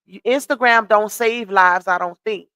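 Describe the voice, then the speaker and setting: A woman's voice: medium pitch, moderate pace, bright, forceful tone, smooth, no echo. Chatty woman hosting a gossip live stream. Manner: chatty, reads out comments and greets viewers. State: losing her voice.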